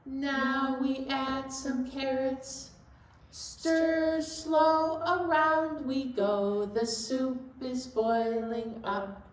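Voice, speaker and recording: A woman hosting a children's library storytime in a sing-song style, with simple singing and gentle chat. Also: high voice, flat delivery, extremely slow, faint music behind. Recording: roomy echo, distant microphone.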